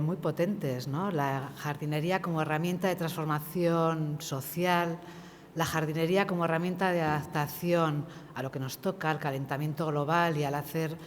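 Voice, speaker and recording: Audience woman, low voice, earnest and emphatic, in a reverberant hall with faint room noise. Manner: earnest, emphatic, thinks aloud with restarts. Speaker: audience member. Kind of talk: spontaneous comment from the floor. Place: conference hall.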